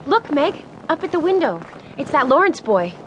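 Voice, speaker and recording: A woman's voice: sits high, very animated, moderate pace, muffled recording, a little hiss or hum. An actress playing a boisterous teenage tomboy sister in a radio drama, speaking in a blunt, unladylike manner. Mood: boisterous, defiant.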